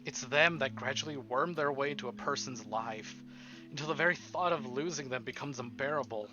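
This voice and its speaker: A man reading as the earnest, tender first-person narrator of a romantic visual novel, soft and measured. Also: mid-range voice, close to an everyday voice. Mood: tender, solemn. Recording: dull lo-fi stream audio under music.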